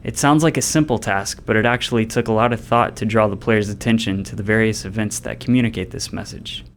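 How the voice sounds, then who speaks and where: Lowish-voiced man over faint ambient noise. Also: steady tone, brisk. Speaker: game developer. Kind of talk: scripted developer commentary.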